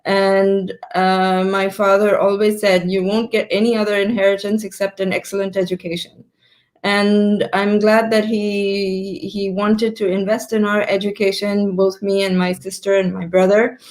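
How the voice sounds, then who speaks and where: A woman's voice: mid-range voice, flat delivery, normal speed, a little mellow, rough recording. Woman in her fifties, from Pakistan, interview guest, thoughtful, rephrases as she goes, video call. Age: 50s. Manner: thoughtful, stops to rephrase mid-sentence. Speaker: guest entrepreneur. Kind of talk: live interview answers.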